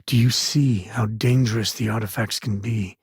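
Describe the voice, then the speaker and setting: A rugged frontier space ranger from a video game, very husky and rough-edged, recorded close and dry. He talks in a folksy, sardonic way, weary but loyal, like someone who has seen plenty and says it plainly. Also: on the low side, fairly even intonation, moderate pace, dry recording. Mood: grave, earnest. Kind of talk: video game character dialogue.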